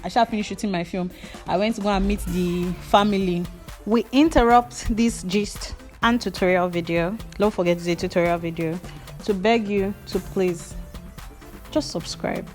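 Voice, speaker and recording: A young Nigerian woman with a Nigerian accent, a hair tutorial vlogger, mixes slow step-by-step instructions with playful, gossipy storytelling. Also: mid-range voice, faint music behind. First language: Yoruba. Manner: animated, playful, jumps between slow instructions and gossipy asides.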